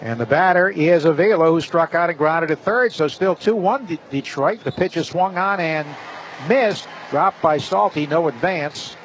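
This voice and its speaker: A man's voice: fairly high pitch, moderate pace. A man working as a play-by-play announcer, giving live baseball commentary in the style of a radio broadcast. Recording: slightly dull broadcast, faint stadium noise.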